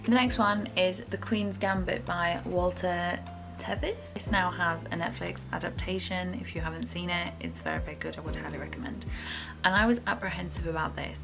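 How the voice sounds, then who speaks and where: A woman's voice: fairly low pitch, moderate pace, over music. A young woman chatting informally to viewers about books, often qualifying what she says, in a casual, chatty way. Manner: chatty, informal, hedging her opinions. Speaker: book reviewer.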